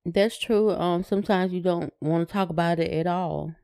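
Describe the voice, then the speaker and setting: Female podcast co-host, smooth clear voice, agreeable and brief in casual chat. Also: lowish voice, steady tone, normal speed, warm tone. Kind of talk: casual conversation.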